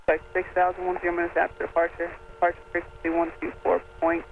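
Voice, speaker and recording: Crackly radio, flat-toned male air controller. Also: sits high, fast, creaky. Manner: formal, procedural. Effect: aviation radio. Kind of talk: clipped radio clearance readout. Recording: narrow, crackly aviation radio with faint noise.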